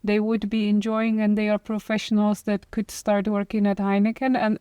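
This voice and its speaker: A woman's voice: medium pitch, monotone, moderate pace, mellow, smooth, no room sound. A woman from Poland on a company podcast panel, talking with many hesitations and restarts. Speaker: employee ambassador.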